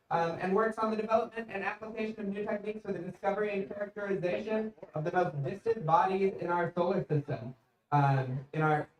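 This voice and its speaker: A man hosting a live event, making casual announcements and introductions, heard from a distance in an echoey space. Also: high voice. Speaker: event host. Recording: echoey room, distant from the mic.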